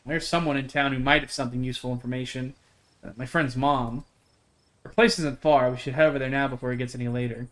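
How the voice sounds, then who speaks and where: A man's voice: medium pitch, steady tone, fast, fairly bright tone, lightly acted. A man voicing an earnest, determined young small-town woman who hunts cryptids in a visual novel. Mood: indignant, determined. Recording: game music behind the voice.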